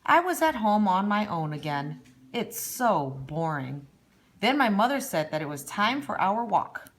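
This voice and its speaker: A woman's voice: medium pitch, very animated, measured pace, a little hiss or hum. A lonely young storybook boy voiced by a woman. He is timid and a bit bored, telling his tale wistfully. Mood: wistful, shy. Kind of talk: storybook read-aloud.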